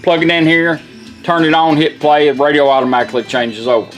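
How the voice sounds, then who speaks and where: A man's voice: on the high side, brisk, a bit bright, soft background music. A man giving a casual, folksy product demonstration, explaining things step by step in an informal way. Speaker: radio restorer demonstrating a product.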